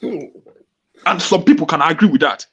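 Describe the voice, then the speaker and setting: A male panelist on an online discussion, arguing his point with drawn-out emphasis. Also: fairly high pitch, sing-song, gravelly, fairly bright tone, breathy edge.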